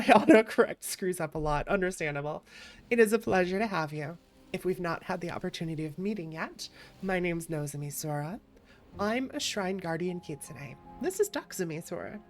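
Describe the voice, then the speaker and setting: A woman livestreaming a game playthrough as a VTuber, talking casually in a chatty, affectionate, playful manner. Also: on the low side. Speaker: VTuber streamer.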